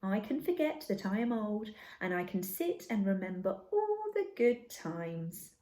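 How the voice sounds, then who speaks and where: A woman voicing a kindly, wistful old lady in a children's storybook, dreamy and gentle. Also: mid-range voice, big pitch swings, unhurried, echoey room. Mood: wistful, serene.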